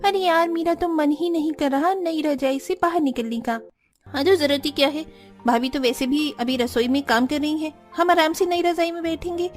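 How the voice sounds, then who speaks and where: An actress plays a lazy, demanding young woman of a cartoon household. Her voice is high and chirpy with a cheeky wheedle, over faint music. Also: normal speed, natural voice. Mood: lazy, content. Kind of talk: Hindi cartoon story acting.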